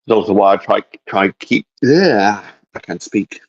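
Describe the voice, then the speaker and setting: Very low, husky man, slightly muffled. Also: moderate pace. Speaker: co-host of a hobby discussion show. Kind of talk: casual geeky chat.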